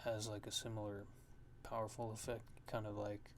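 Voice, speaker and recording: Low, monotone man with background noise. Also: moderate pace. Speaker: music production tutorial host. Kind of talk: casual software tutorial, thinking aloud.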